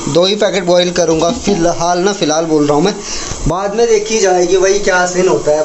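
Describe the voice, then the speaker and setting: A man's voice: sits high, a little mellow, a little hiss or hum. A young man from the Himalayan hills filming a casual village vlog on his phone at arm's length, chatting to viewers as he goes about chores. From Uttarakhand hills, India. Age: young adult. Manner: chatty, keeps calling viewers brother. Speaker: village vlogger. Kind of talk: casual vlog talk to camera. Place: village house and yard, indoors and outdoors. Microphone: phone camera held at arm's length.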